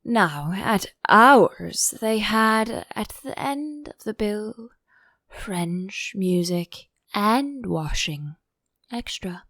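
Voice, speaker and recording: A woman voicing a melancholy, touchy old male turtle creature in a storybook, with a drawn-out, sighing delivery. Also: medium pitch, lively intonation, a bit bright, no room sound, clean studio sound, full character voice. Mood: sighing, wistful. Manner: slow, drawn-out, mournful delivery. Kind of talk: audiobook character voice.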